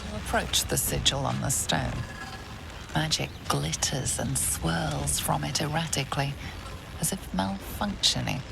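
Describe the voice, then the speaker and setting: Female dark-fantasy game narrator: very low register, unhurried, menacing and measured. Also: vocal fry, music in the background, heavily acted, low-quality recording. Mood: mysterious. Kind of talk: dramatic second-person fantasy game narration.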